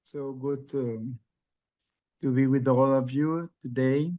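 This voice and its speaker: A man from Costa Rica with a Spanish accent, sharing earnestly and haltingly with restarts at an online spiritual gathering. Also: medium pitch, steady tone, dark tone, dull audio. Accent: Costa Rican Spanish. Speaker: community member sharing.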